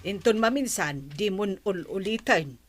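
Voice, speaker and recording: A woman's voice: mid-range voice, lively intonation, measured pace. A woman with an Ilocano Filipino accent playing an admonishing villager in an audio comedy.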